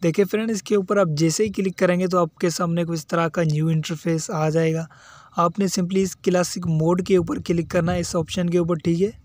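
A man's voice: high voice, flat delivery, brisk, a little mellow. A man hosting a step-by-step mobile game tutorial as a voice-over, instructional and often repeating the same verbal tags. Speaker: tutorial video host.

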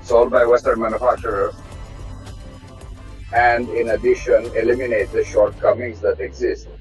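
A middle-aged man, a test pilot from Russia, giving a measured, technical tour of an aircraft cockpit. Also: mid-range voice, flat delivery. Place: aircraft cockpit inside a hangar. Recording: slightly dull sound, faint music behind.

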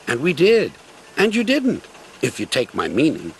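A man's voice: a little high, wildly swooping pitch, moderate pace, a little hiss or hum, voice pushed up. A man voicing a kind, hard-working male diesel engine with a friendly, chatty manner.

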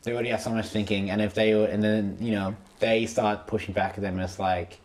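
A man's voice: lowish voice, little pitch movement, fairly quick, a little mellow. A young man in his twenties hosting a casual podcast, rambling with lots of fillers, on a close studio mic. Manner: rambling, full of "like" and "you know". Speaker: podcast host, filmmaker. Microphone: podcast mic on boom arm.

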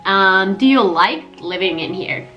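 Vlog host, female, twenties, Finnish accent, mid-pitched, chatty Q&A delivery with light music underneath. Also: lively intonation, a little slow, lo-fi sound. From Helsinki, Finland. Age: 20s. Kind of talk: casual Q&A chat. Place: small home kitchen, indoors.

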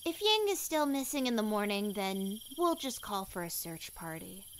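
A woman's voice: medium pitch, big pitch swings, normal speed, a little hiss or hum. A woman voicing a prim, easily panicked young heroine in a comic dub, fussy and theatrical, with exclamations. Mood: dry, tired.

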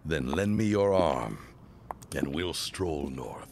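Man acting a very deep-voiced courtly suitor. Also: lively intonation, creaky, noisy background, full character voice. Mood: courting. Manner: florid, formal, drawn-out phrasing. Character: courtly smitten suitor, florid and slightly smarmy. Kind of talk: period audio drama acting.

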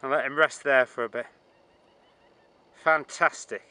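A man's voice: mid-range voice, steady tone, unhurried, pushed and bright, clear, resonant tone. A man in his thirties chatting casually as a fishing presenter, agreeing readily and prompting with short questions. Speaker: presenter interviewing a fellow angler. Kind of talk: casual outdoor fishing chat. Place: outdoors on a pebbly shore. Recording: faintly noisy outdoor recording.

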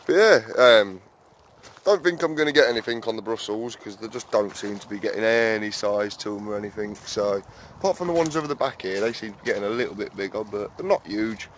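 Rambling low-voiced man vlogging his allotment. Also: fairly quick, a little hiss or hum. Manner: rambling, chatty, many fillers, keeps calling viewers guys. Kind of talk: casual walk-and-talk vlog. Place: outdoor allotment, then small garden shed.

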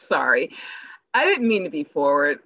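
Woman acting an eager church organizer, animated. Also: low voice, unhurried, full character voice. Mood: friendly, forward. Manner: eager, proselytizing. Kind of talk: online play reading. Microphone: video call microphone. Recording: dull lo-fi video call.

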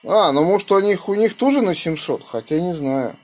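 A man with a slightly husky voice, chatting casually in slangy speech and giving call signs as an amateur radio operator, recorded indoors on a phone. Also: high-pitched, lively intonation, normal speed, darkish tone, slight background noise. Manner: colloquial, slangy. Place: small room indoors beside radio equipment. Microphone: phone held near the radio.

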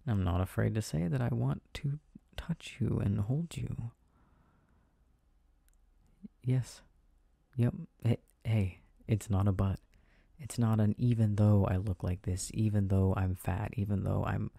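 Man as a soft, dark-voiced, gentle boyfriend. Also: on the low side, creaky, slightly rough, dry recording, full character voice. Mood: tender reassurance. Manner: soft, intimate, halting, chuckling delivery. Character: gentle, reassuring, affectionate boyfriend, playfully teasing. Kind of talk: soft boyfriend ASMR roleplay.

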